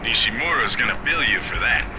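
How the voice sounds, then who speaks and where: A man's voice: mid-range voice, lively intonation, brisk, heavy background noise, lightly acted. An actor playing a casual, worried male sidekick in a video game. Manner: colloquial, worried. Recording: loud music over the voice.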